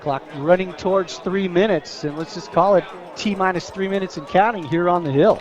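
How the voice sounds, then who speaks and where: A man's voice: high voice, moderate pace, muffled recording. An American man giving conversational color commentary on a live football radio broadcast. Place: outdoor high school football stadium. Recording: loud crowd behind, outdoor stadium broadcast. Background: crowd noise.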